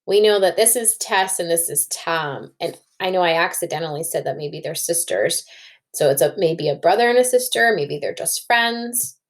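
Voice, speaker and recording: A woman's voice: lowish voice, lively intonation, normal speed. A woman teacher doing a children's read-aloud and story discussion, thinking aloud, recorded indoors at a computer.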